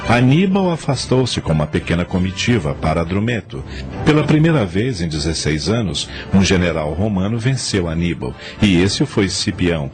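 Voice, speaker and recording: Deep-voiced male radio drama narrator. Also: very animated, normal speed, faint music behind.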